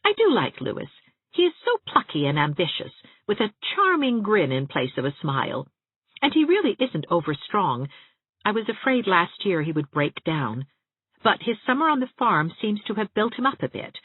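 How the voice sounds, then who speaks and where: A woman's voice: mid-range voice, big pitch swings, normal speed, slightly rough, no room sound. A woman narrating an audiobook, reading scripted story prose expressively. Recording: narrow, phone-like audiobook sound.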